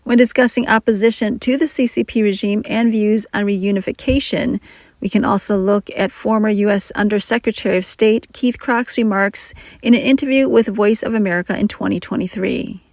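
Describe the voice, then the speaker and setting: A woman narrating a news report in a measured, scripted reading. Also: mid-range voice, steady tone, darkish tone, no echo.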